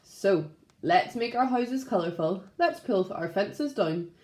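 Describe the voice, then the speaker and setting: A hopeful storybook chimpanzee, voiced by a woman reader, rallying others with earnest speeches. The delivery is animated and measured, with room echo around it. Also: mid-range voice. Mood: rousing, hopeful. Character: hopeful, rousing male chimpanzee traveller in a storybook. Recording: roomy, echoing room sound.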